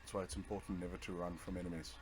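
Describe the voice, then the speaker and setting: A man's voice: low-pitched, flat delivery, racing, over music. A man giving casual, offhand commentary over his own video game playthrough, half talking to himself. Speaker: let's play host.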